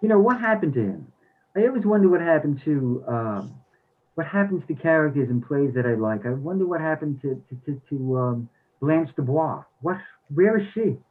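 A man's voice: on the high side, lively intonation, moderate pace. A man who reads in plays, musing casually in a discussion. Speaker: play reader. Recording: thin, phone-like sound.

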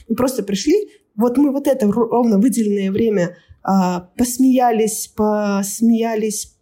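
A woman's voice: mid-range voice, warm tone, husky. A woman from Kazakhstan, a guest agile coach, chatting animatedly in an interview with frequent laughs and fillers. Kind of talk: casual interview chat.

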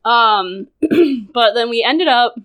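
A woman's voice: a little high, animated, takes it slowly, a bit bright, rough recording. A woman guest on a casual podcast, telling a personal story in a loose, chatty way, with lots of "like" and frequent laughter. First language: English. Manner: chatty, full of "like", laughs often.

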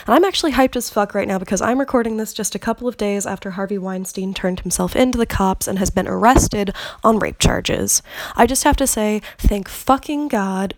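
Gravelly-voiced woman podcaster, candid and sweary. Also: medium pitch, noisy background. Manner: candid, swears freely, conversational. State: slightly congested from a cold. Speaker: podcast host. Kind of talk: casual solo podcast monologue.

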